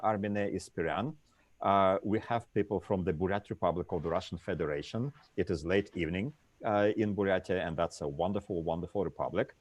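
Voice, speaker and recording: Low-voiced male panel moderator. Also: dry recording. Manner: reads lists with frequent "uh" fillers.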